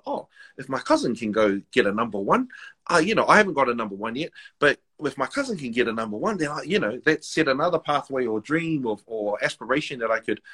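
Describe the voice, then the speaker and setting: A man from Wellington with a New Zealand accent, telling stories in casual podcast chat, animated and full of restarts. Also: mid-range voice, slightly rough. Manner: animated storytelling, many restarts, slips into quoted voices. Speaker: guest, rapper and basketball MC.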